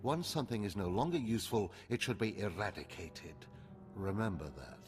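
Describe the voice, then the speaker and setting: Low-voiced, haughty Sith overseer. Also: male voice, big pitch swings, normal speed. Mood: stern, critical. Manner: clipped, lecturing, condescending. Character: stern, haughty older male Sith overseer, impatient, menacing. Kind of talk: video game voice acting. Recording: loud music behind.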